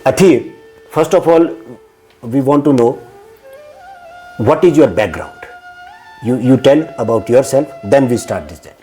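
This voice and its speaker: A male interviewer who hosts the channel and asks halting questions full of fillers and restarts. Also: mid-range voice, dark tone, faint music behind.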